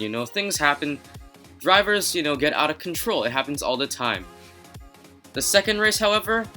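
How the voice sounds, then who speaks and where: Young male game commentator, first language Chinese, bright and fairly high, soft music under him. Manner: chatty, self-mocking, upbeat. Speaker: gaming YouTuber. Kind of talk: casual game commentary voice-over.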